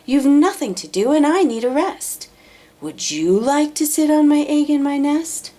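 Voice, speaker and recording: A woman's voice: high voice, lively intonation, measured pace, dark tone, voice pushed up. A woman voicing a lazy female bird in a children's storybook, whiny and sweetly wheedling. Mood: sweetly coaxing. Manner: complaining, pleading, sweetly coaxing. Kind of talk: children's storybook read-aloud.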